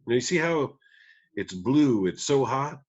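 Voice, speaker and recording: A man playing a gentle, weary country musician father in a table read, with folksy, halting phrasing. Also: mid-range voice, animated, dull audio. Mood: tender, cautioning. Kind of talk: screenplay table read acting.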